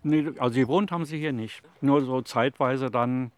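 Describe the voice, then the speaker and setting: Older retiree, man, 70s, medium pitch, dry close sound, calm and rambling. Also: moderate pace, dry recording. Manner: calm, plain, rambling storyteller with fillers like "also" and "eben". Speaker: interviewee, retiree. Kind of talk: casual interview answers. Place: outdoors in a city allotment garden.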